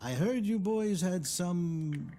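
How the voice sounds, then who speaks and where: An actor playing a smug, sly loan shark in a video game cutscene, talking slowly and mockingly. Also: male voice, warm tone. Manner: slow, mocking, theatrical, with swooping pitch.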